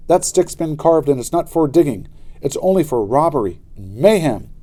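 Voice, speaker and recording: A man's voice: on the high side, sing-song, moderate pace, warm tone, voice pushed up. A man voicing an earnest, anxious young schoolboy in a children's storybook. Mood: indignant. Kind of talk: children's storybook character reading.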